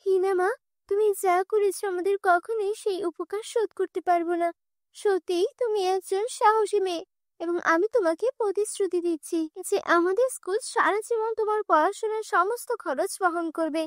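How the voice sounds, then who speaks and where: A woman's voice: very high-pitched, moderate pace, no echo, studio quality. A woman voicing a worried older female school principal in a cartoon, with a pleading, earnest manner. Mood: grateful. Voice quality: pleading, then grateful. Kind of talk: cartoon dubbing.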